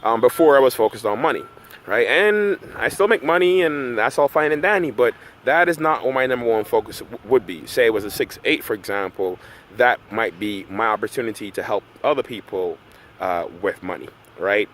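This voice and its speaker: A man's voice: mid-range voice, a little fast, a bit bright, slight background noise. A middle-aged man from Barbados, a numerology coach, talking casually to camera outdoors in a park and often asking "right?". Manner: conversational, often tags "right?". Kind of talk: casual vlog monologue. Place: outdoors in a park by road works.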